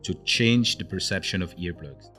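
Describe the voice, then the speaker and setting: A man's voice: deep voice, sharp tone, soft background music. A man co-hosting a business podcast, narrating a scripted intro and then chatting with short replies. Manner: brisk presenter delivery, short back-channel replies in chat. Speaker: podcast co-host. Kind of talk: scripted narration and casual chat.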